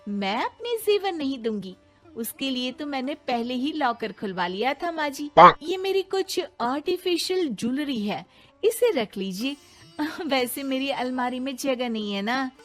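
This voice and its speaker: A woman voicing a proud, educated young bride in a story, with an emotional, expressive delivery. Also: high voice, measured pace, faint music behind, voice pushed up. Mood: polite, surprised.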